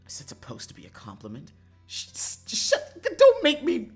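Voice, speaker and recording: A game streamer chatting casually and excitably, swearing freely and swinging between calm asides and mock-angry outbursts. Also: mid-range voice, normal speed. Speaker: livestreamer. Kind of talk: casual chat while playing a visual novel. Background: background music.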